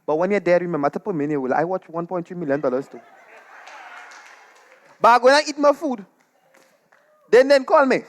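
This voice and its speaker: A man's voice: high-pitched, a little fast, pauses often. Theatrical Trinidadian man telling a story. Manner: animated, dramatic, gossipy delivery. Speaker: storytelling performer. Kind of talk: theatrical comic monologue in dialect. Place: live on stage at a performance venue.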